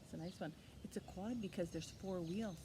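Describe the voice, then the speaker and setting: A woman's voice: on the low side, brisk. A woman hosting storytime for young children, teaching in a sing-song way with simple questions and repeated key words. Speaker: children's library storytime host. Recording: clear steady background noise.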